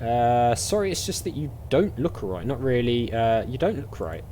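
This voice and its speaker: A man's voice: mid-range voice, lively intonation, normal speed, mellow, slight background noise. A chatty man giving casual gameplay commentary as host, with game ambience behind him. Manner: chatty, reads game dialogue aloud. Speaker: gaming video host. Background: faint game ambience.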